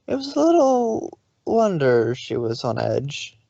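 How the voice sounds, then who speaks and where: Fan fiction narrator, mid-pitched voice, storytelling delivery, dry lo-fi home recording. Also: huge pitch swings, slow, vocal fry, mellow, no echo.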